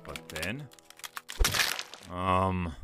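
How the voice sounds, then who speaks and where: A man's voice: low voice, expressive, extremely slow, over loud music, heavily acted. A man voicing an awkward, lovestruck impostor crewmate in a comic dub, hesitant and prone to melodramatic outbursts. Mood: subdued, hesitant.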